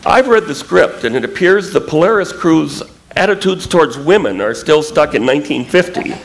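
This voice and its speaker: A man's voice: a little high, expressive, moderate pace, vocal fry. A man hosting on stage as master of ceremonies, mixing announcements and comic banter on a noisy live recording.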